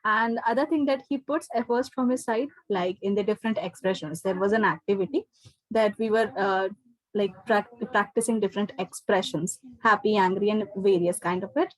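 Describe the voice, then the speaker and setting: A woman's voice: medium pitch, normal speed, rough recording. A woman with an Indian accent, a teacher explaining things to a parent, often checking in with "okay" and "right?". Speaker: teacher giving feedback to a parent. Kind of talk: explanatory talk on a video call. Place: indoors, online class video call.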